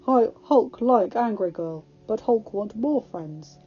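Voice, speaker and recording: A woman voicing a huge, gentle, lonely brute who talks slowly in broken, simple speech for a story reading. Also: fairly high pitch, lively intonation, husky, highs cut off, full character voice. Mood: wistful.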